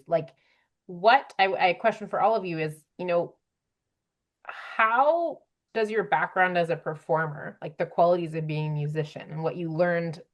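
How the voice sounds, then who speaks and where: A woman hosting a panel discussion, putting chatty, wordy questions to the guests in a conversational way. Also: fairly low pitch. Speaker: panel moderator, host. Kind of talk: conversational moderating.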